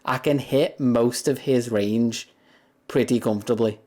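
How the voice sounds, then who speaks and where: A young man with a deep voice talks loosely to his viewers on a livestream. He rambles from one thought to the next and breaks into laughter often. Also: fairly even intonation, a little slow, a little mellow. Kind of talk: casual chat with viewers.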